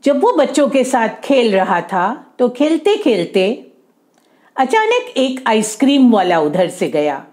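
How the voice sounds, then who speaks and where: Slow, lively woman storyteller. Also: fairly high pitch. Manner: repeats and rephrases, mixing in English words. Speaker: storyteller for children. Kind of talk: oral moral storytelling for kids.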